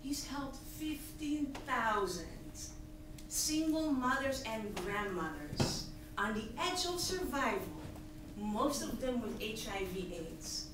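Woman from Tanzania, 30s, higher voice, earnest founder pitch at a podium; heard from far back in an echoey hall. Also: very animated, slow pace, background noise. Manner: earnest, emphatic, gesturing at slides. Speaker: nonprofit founder giving a pitch. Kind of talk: prepared presentation speech. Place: large hall, on stage at a podium. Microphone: podium mic, recorded by a far audience camera.

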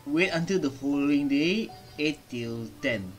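A man's voice: on the high side, expressive, a little slow, rough recording. A young man gaming streamer giving casual reaction commentary. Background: game music.

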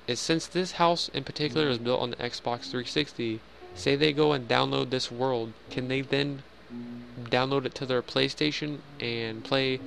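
Young male gamer, gravelly, rambling casual voice-over, faint music bed. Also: mid-range voice, fairly bright tone, rough recording. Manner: rambling, self-joking, filler-heavy. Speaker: Minecraft builder giving a house tour.

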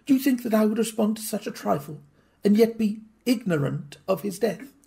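A man's voice: high-pitched, expressive, brisk, vocal fry, dark tone, husky, pitched above the performer's own voice, full character voice. Man voicing an anxious Victorian wife. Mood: pleading. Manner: pleading, intense, formal. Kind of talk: audiobook reading.

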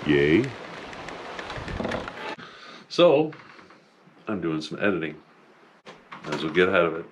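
A man in his fifties casually narrating his fishing trip from a small boat on a lake, relaxed and matter-of-fact. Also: sits low, takes it slowly, a little hiss or hum, rough recording. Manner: relaxed, matter-of-fact, upbeat when a fish bites. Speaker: fishing vlogger. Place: small aluminum boat on an open lake, outdoors. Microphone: action camera mounted in the boat.

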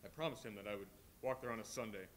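A man playing a gentle grieving father, quick and bright. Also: on the low side, slight background noise, close to an everyday voice. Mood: tender. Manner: tender, mournful. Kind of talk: live stage play reading.

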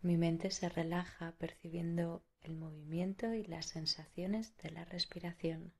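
Low-pitched Spanish woman guiding meditation, even-toned. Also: husky. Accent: Spain. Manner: soothing, unhurried, with long pauses. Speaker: meditation guide. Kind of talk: guided meditation.

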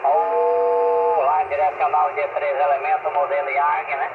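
A man's voice: on the high side, fairly even intonation, a little slow, creaky. A Brazilian man in a casual two-way radio chat, repeating himself and ending phrases with short tag questions. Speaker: amateur radio operator. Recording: muffled, noisy radio setup, room echo.

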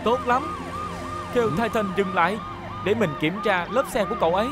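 A man's voice: sits high, a little fast, a loud crowd, natural voice. A man voicing a decisive, reassuring police car rescue leader in a children's cartoon. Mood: commanding.

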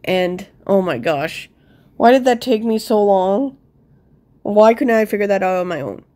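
A woman with a soft-edged, mellow voice and a blocked-nose sound. She speaks slowly at a middling pitch, thinking aloud in casual game commentary and gently putting herself down. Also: animated, slight background noise. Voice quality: congested, stuffed-up nose. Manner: thinking aloud, self-deprecating. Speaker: player commenting on own gameplay. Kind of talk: casual voice-over on a word puzzle game.

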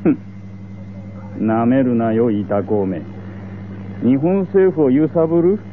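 An actor playing a proud, pompous middle-aged medical association president in a Japanese radio drama. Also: male voice, medium pitch, slow, background noise, close to an everyday voice. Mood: scornful. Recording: tinny old radio recording.

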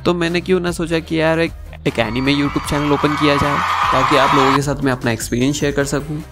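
A man's voice: fairly high pitch, fairly even intonation, brisk. A man narrating a review as a YouTuber, conversational, mixing in English phrases. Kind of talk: review narration with plot recap. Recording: music under the voice. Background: background music.

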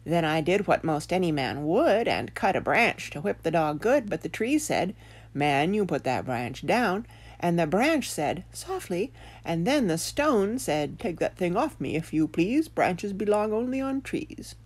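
A woman voicing an excitable, easily spooked male farmer in a children's tale, sounding exasperated. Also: on the low side, measured pace. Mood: agitated.

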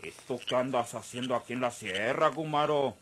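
An actor playing a menacing, suspicious boss with a gravelly, husky voice and a rural northern Mexican accent in an old radio serial. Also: male voice, medium pitch, normal speed, fairly bright tone, slight background noise, lightly acted. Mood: distrustful.

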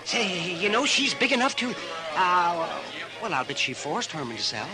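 An actor playing a wry, gossipy frontier doctor in a 1950s radio western, folksy and sardonic. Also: male voice, high voice, sing-song, normal speed, music in the background. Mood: speculating. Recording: vintage 1950s radio drama recording.

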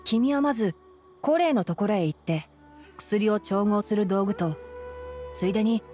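A woman playing a clever young male forest ranger in an anime game, boyish, crisp and dryly critical. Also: mid-range voice, normal speed, warm tone, close to an everyday voice. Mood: brisk. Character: youthful male forest ranger captain, clever, brisk, sardonic. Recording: game music behind the voice.

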